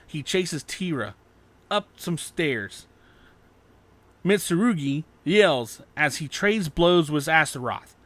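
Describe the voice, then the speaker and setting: A male narrator reading a scripted fan fiction audiobook. His voice sits a little high, and the recording is dry and close. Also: lively intonation, slow, a bit bright, no echo.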